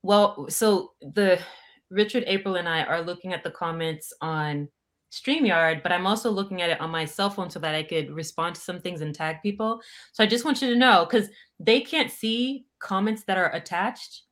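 A woman's voice: on the low side, normal speed, a bit bright. A woman chatting casually as a co-host on an online livestream. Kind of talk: casual chat.

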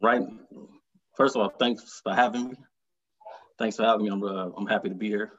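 Husky man, reflective. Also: on the low side, steady tone, fairly bright tone, lo-fi sound. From Detroit, Michigan. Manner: reflective and earnest, halting. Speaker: author, interview guest. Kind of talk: conversational interview.

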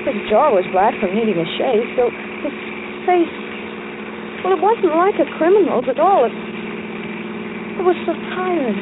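Scared woman held captive, really high voice, dramatic, noisy old radio thriller. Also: very animated, unhurried, long pauses, narrow, tinny audio. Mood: anxious recounting. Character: frightened captive woman, pleading and narrating her ordeal. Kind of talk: old-time radio thriller acting, first-person narration.

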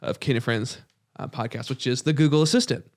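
A man's voice: mid-range voice, brisk. A young man hosting a casual podcast chat in a home studio, rambling with frequent restarts. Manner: rambling, restarts, plugging the show. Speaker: podcast host.

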